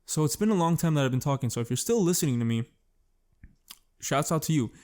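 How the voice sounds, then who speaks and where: A man's voice: fairly high pitch, animated, fast, darkish tone. A young man hosting a solo podcast, rambling in a self-conscious, casual way and swearing often. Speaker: podcast host.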